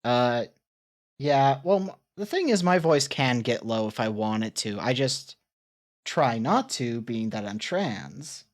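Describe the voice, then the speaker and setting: A woman's voice: very deep voice, lively intonation, slow. A woman streamer chatting casually and rambling as she reacts to her old clips.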